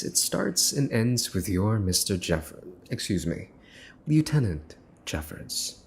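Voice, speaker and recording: A man's voice: lowish voice, a little mellow, exaggerated voice. A man playing a smug, menacing young mafia boss's son in an ASMR roleplay, mocking and teasing with theatrical deliberation. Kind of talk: ASMR roleplay, dramatic monologue to the listener.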